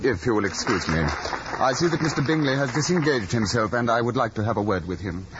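A proud, aloof gentleman played by a man speaks in a medium-pitched voice, formal and exacting. It is a radio costume drama with a dulled sound and music underneath. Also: expressive, a crowd in the background, lightly acted. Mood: stiff, disdainful. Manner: stiff, formal, deliberate. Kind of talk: period radio drama acting. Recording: dull radio recording with music behind.

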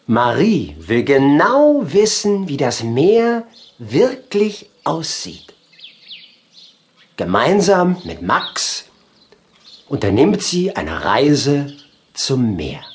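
A storybook narrator reading a children's tale in a deliberate, expressive way. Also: medium pitch. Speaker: audiobook narrator.

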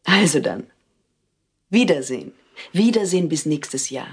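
An actress playing a secretive celebrated singer in an audio drama, measured, soothing and gently evasive. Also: female voice, deep voice, animated, husky, full character voice. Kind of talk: German audio drama acting.

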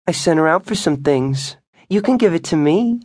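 A man's voice: animated, normal speed, darkish tone, no room sound, clean studio sound. A man doing a sultry, imperious ex-wife. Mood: cool.

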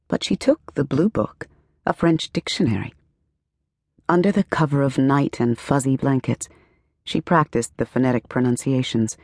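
A woman narrating a literary novel as an audiobook, with measured, expressive storytelling and careful phrasing. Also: sits low, no room sound, clean studio sound. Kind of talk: scripted literary fiction narration.

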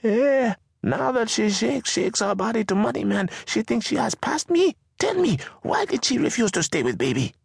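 A fierce toothless mother shrills and scolds her son from a cramped shack, as a male narrator puts her on. Her voice leaps high and swings widely, with a husky grain beneath the sharp scolding. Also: big pitch swings, moderate pace, hardly any pauses, no room sound, clean studio sound, heavily acted.

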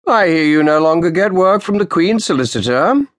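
A sharp, stern old man past sixty, as a male narrator puts him on, sitting well above the reader's own register. He speaks in clipped, sardonic phrases with a lively rise and fall, in a close, dry recording. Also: a little high, moderate pace, no echo, pitched above the performer's own voice.

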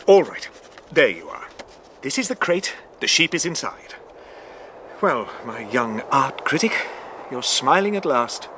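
A man's voice: medium pitch, sing-song, a little slow, long pauses, sharp tone, a little hiss or hum. A man playing a stranded pilot in a radio drama, exasperated but kindly as he explains himself.

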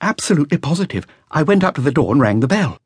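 A man's voice: fairly high pitch, big pitch swings, fast, husky, no echo, studio quality, voice pushed up. A man voicing a nervous, earnest young male flautist telling what he witnessed. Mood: anxious. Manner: anxious, breathless, earnest.

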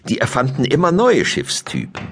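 A man's voice: medium pitch, wildly swooping pitch, normal speed, creaky, noisy background. An actor playing an elderly painter who fondly looks back on his youth in a children's audiobook. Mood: fond, admiring.

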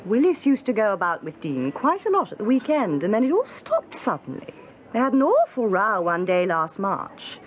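Radio drama: brisk, witty, slangy upper-class English office woman, animated pitch over light background noise. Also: poor audio. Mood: frank, brisk. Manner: brisk, frank, drily witty. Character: blunt, jolly, slangy female office copywriter. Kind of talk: British radio drama acting.

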